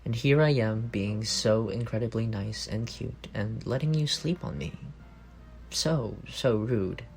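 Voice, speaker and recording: A man playing an affectionate, teasing young roommate secretly in love, in an intimate ASMR roleplay with a soft, creaky voice. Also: fairly low pitch, a little slow, slight background noise. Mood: playful, teasing. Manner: playful teasing murmur with soft chuckles.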